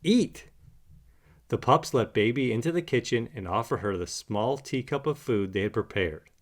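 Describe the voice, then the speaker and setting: Animated man reading a children's storybook. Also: medium pitch, moderate pace. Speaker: storybook narrator. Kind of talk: animated children's read-aloud narration.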